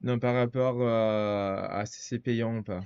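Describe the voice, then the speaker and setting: A man's voice: medium pitch, fairly even intonation, measured pace, darkish tone, smooth, no room sound. Brazilian guy hosting a chatty podcast in french, hesitates looking for words, dry kinda dull sound. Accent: Brazilian Portuguese. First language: Portuguese. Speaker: podcast host. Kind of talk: casual interview chat.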